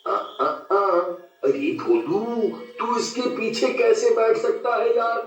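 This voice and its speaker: Guy doing a goofy young village simpleton for a story, high whiny voice, slow, stammers, echoey dull sound. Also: sing-song, heavily acted. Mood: bashful, boastful. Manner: whiny exclamations, hesitant stammering. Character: timid, lovestruck, boastful young village simpleton man. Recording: echoey, distant, dull audio with music.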